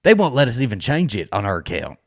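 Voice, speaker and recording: A man's voice: mid-range voice, big pitch swings, fast. A man co-hosting a casual recap show, talking in an informal, slangy, joking way. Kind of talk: casual chat recapping a TV episode.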